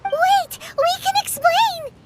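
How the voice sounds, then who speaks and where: Squeaky, very high tiny fairy companion. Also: female voice, slow, a little hiss or hum. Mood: alarmed. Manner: chatty, squeaky, informal. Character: tiny, cheeky, childlike female fairy companion. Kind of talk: video game voice acting.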